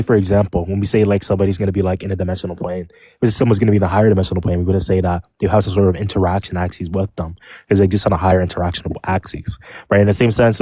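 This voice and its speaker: A man in a casual online voice-chat debate, arguing rapidly in jargon and talking over interruptions. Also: deep voice, mellow, no echo.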